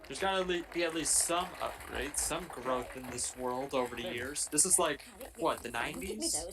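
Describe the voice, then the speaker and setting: A man's voice: medium pitch, moderate pace, crowd noise. A man chatting casually and jokingly as he co-hosts a film commentary.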